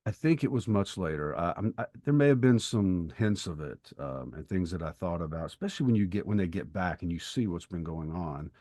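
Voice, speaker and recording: A middle-aged man co-hosting a podcast, talking reflectively about literature in a thoughtful, halting way, rephrasing as he goes. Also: sits low, darkish tone, no room sound, clean studio sound. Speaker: podcast co-host and writer.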